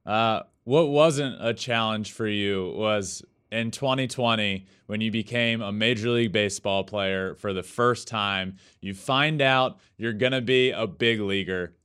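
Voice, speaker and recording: A man's voice: lowish voice, a little slow, hardly any pauses, fairly bright tone, smooth. A man hosting a conversational sports interview, speaking in an enthusiastic, effusive manner.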